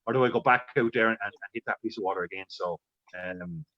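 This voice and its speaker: A man's voice: fairly low pitch, quick pace, no echo, lo-fi sound. A man giving live expert commentary on a fly-fishing match, rambling with many fillers and restarts.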